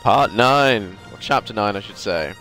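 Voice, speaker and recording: Sniffly man with a lively voice. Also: on the low side, measured pace, faint music behind. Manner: chatty, self-deprecating, mutters to himself. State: congested with a cold. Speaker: gameplay commentator. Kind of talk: casual let's play commentary. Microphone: desk microphone.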